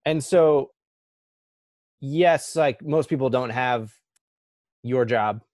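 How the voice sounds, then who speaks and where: A man hosting a talk show, asking questions in a halting, searching way. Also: mid-range voice, a little mellow, no room sound, clean studio sound. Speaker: host. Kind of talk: interview questions.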